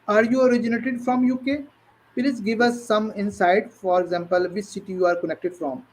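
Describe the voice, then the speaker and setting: High-voiced Pakistani man hosting. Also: fairly even intonation, moderate pace, poor audio. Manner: hesitant, many fillers and restarts. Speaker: interview host. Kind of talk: live online interview.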